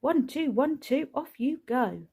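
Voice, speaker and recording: A woman's voice: high-pitched, lively intonation, slow, creaky, darkish tone, pitched above the performer's own voice, exaggerated voice. A woman voicing a jolly, bossy father who commands his children around the house. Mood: commanding. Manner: hearty, commanding.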